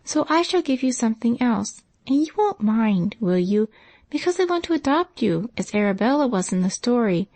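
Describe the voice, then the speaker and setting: An eager young girl, as a woman reader puts her on, rattling along in a high, lifted voice. She sounds mellow and close, in a dry storybook reading. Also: very animated, no room sound. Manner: talks very fast and eagerly.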